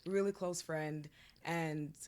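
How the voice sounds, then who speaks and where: A woman's voice: deep voice, fairly even intonation, slow, noisy background. A woman hosting a podcast, talking casually with her cousin and laughing often. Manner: chatty, laughs often, self-deprecating. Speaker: podcast host.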